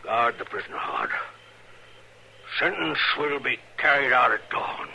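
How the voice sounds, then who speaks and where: An actor playing a severe, mad middle-aged frontier preacher in an old radio western, pronouncing solemn biblical judgment. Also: male voice, medium pitch, sing-song, unhurried, vocal fry, sharp tone, exaggerated voice. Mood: grim, solemn. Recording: noisy old radio recording.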